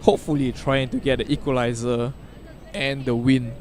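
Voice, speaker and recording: A man doing live play-by-play commentary at an outdoor field, given to sudden loud exclamations. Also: mid-range voice, flat delivery, moderate pace, slight background noise. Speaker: sports commentator.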